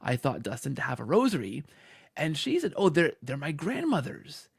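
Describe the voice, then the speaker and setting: Male podcast host, lighter pitch with creaky edges, animated conversational storytelling. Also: moderate pace, no echo, clean studio sound. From Canada. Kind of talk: conversational interview.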